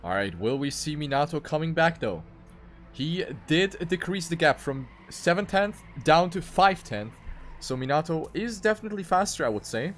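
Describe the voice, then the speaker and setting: A man's voice: a little high, big pitch swings, moderate pace, faint music behind. A man giving live commentary on a video game race.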